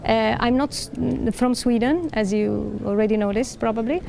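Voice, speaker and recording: A woman's voice: a little high, normal speed, gravelly, darkish tone. A middle-aged woman from Italy, a professor speaking on a panel in a large hall, hesitant with many fillers and restarts. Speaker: professor and dean. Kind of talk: answering questions on a panel. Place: large library hall indoors.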